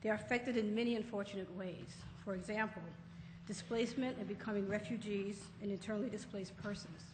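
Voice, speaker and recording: A woman's voice: mid-range voice, fairly even intonation, fairly quick. A woman moderator formally introducing a conference session, reading from prepared remarks. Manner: formal, read-aloud. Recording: roomy echoey hall with faint noise.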